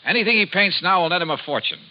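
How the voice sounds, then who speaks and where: A wry, self-assured American private investigator from a 1950s radio drama. His delivery is highly animated and punchy. The old recording is dull, with faint hiss. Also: rapid-fire. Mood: brisk, self-assured. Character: brisk wry hardboiled male freelance insurance investigator.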